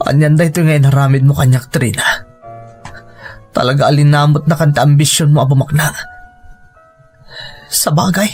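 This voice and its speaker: A man's voice: on the high side, darkish tone, breathy edge. A man playing an earnest, devoted suitor with a wounded streak in a melodramatic Ilocano radio drama.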